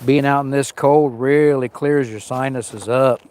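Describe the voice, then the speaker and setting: A man's voice: medium pitch, fairly even intonation, a little slow, a little mellow, slight background noise. A man hosting a camping livestream outdoors in the cold woods, sniffling as he chats with viewers and greets them by name. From Arizona. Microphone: small mic worn on him.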